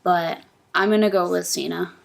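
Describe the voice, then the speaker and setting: Low, creaky-voiced woman on a podcast. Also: fairly even intonation, normal speed. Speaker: podcast panelist. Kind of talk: casual wrestling prediction talk.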